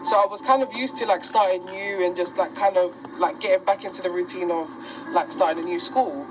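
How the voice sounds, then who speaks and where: A woman's voice: on the low side, brisk, noisy background. A woman, telling a personal story as an interview guest in a chatty, slangy, rambling way. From Uganda and Sierra Leone. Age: 20s. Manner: chatty, slangy, rambling with many likes and laughs. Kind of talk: casual storytelling. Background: loud background music.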